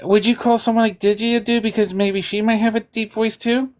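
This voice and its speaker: A woman in a heated political argument in an online voice chat, lecturing sarcastically and sometimes slowing her words down on purpose. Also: a little high, level tone, a little mellow. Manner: sarcastic, lecturing, deliberately slow at times.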